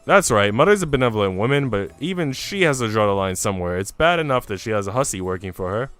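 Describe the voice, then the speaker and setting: A man's voice: fairly low pitch, lively intonation, quick pace, fairly bright tone, soft background music. A man voicing a cruel, haughty young noble lady in a visual novel, imperious and taunting. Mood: threatening.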